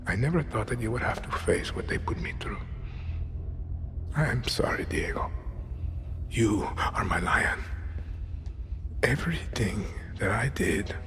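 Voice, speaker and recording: An actor playing an ailing, middle-aged dictator in a video game, menacing and controlled, with a gravelly voice and measured delivery. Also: male voice, lowish voice, lively intonation, in a whisper. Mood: hushed, tender. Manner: measured, commanding, exacting. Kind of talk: cinematic video game acting. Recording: reverberant hall, music behind.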